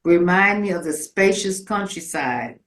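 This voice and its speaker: A woman recites a poetic monologue with lyrical, theatrical delivery. Her voice is slightly low and she moves slowly through each phrase, with the echo of a live room around her. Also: lively intonation. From rural Virginia, United States. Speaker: community performer reading own piece. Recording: roomy, echoing live space.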